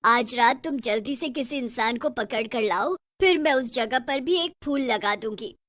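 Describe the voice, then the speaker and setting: Cartoon horror witch, played by a woman: high, gloating, bossy, taunting cackler, dry close sound. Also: a little slow, a bit bright, dry recording. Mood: demanding, scheming. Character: gloating, bossy, selfish female witch who kills people. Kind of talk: Hindi horror cartoon story acting.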